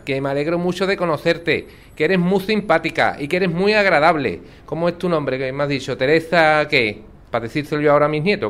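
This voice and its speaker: A man's voice: a little high, fairly bright tone, natural voice. Man playing a chatty elderly Sevillian grandmother. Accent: Sevillian Andalusian. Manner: chatty, folksy, affectionate. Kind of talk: naturalistic audio drama dialogue.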